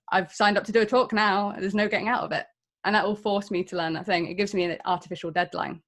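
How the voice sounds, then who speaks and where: Candid English woman giving a tech talk. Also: medium pitch. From England. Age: 30s. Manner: candid, self-deprecating, conversational. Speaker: conference speaker.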